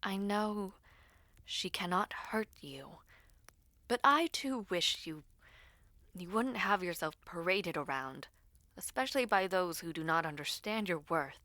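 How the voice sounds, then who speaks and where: An anxious young princess, acted by a woman narrator in a dramatic audio story. She is protective and earnest, with breathy intensity and deliberate pacing in a dry recording. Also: on the low side, animated, sharp tone, dry recording. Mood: earnest worry.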